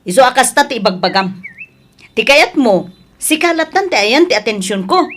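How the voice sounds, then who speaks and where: A woman's voice: a little high, lively intonation, normal speed, a bit bright, a little hiss or hum. A woman playing an angry, resentful mother in a Filipino audio drama, scolding emphatically.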